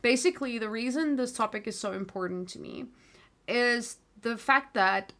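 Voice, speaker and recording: Bright-voiced chatty woman with an American accent. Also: on the high side, moderate pace. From South Africa. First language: Afrikaans. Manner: rambling, easily sidetracked, chatty with frequent fillers. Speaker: livestream host. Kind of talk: casual livestream monologue.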